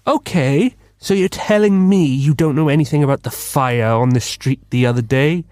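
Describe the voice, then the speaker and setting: A man's voice: fairly high pitch, normal speed, darkish tone, no room sound, clean studio sound, close to an everyday voice. A man playing a pompous, bumbling detective. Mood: inquisitive. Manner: pompous, eager. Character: bumbling, self-important, easily distracted male London detective. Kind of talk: comedic radio drama acting.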